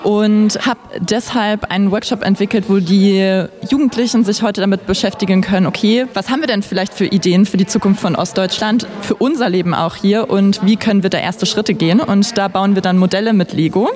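A woman's voice: medium pitch, fairly even intonation, a little fast, hardly any pauses, darkish tone. A woman from East Germany, a workshop leader, earnestly describing her project. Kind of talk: explaining her workshop to camera.

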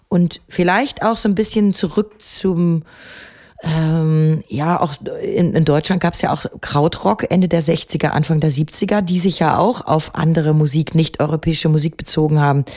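A middle-aged woman with a lowish, mellow voice talks about her songwriting in an unhurried, reflective way. She often pauses and hunts for the right word, with plenty of fillers between her thoughts. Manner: thoughtful, searching for words with many fillers. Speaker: songwriter guest. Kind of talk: reflective interview talk.

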